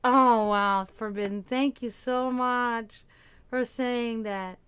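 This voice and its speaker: A woman's voice: fairly high pitch, steady tone, fairly bright tone, clear, resonant tone. A tired woman with a Serbian accent, chatting casually with viewers on a livestream, rambling and restarting her sentences. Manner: rambling, halting, with many restarts and fillers. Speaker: livestream host. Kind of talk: casual chat with stream viewers.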